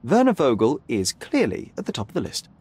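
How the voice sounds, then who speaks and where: A man's voice: mid-range voice, huge pitch swings, fairly quick, darkish tone, slightly rough. A man playing a young, brilliant detective in a video game, cool, rational and precise, with a slightly haughty manner. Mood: deductive, smug. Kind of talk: video game cutscene acting.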